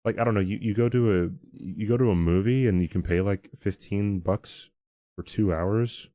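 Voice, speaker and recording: A man's voice: on the low side, dry recording. A young man streaming a game who chats casually with his audience, often chuckling and stopping to restart his sentences. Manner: chuckling, halting and relaxed.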